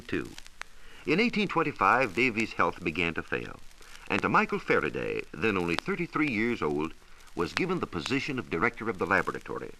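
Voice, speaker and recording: A low-pitched American man speaks in a bright, forceful voice with lively swings of intonation. He delivers scripted educational narration in the manner of a 1940s radio science reporter, phrasing each point emphatically. The recording is an old, hissy broadcast transcription. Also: poor audio.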